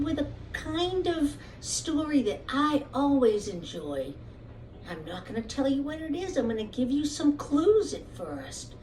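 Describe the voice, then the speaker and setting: A woman teacher telling a puppet story to young children in simple, deliberate phrases with pauses. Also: sits high, a little mellow. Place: room at home. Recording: roomy, distant mic, faint noise.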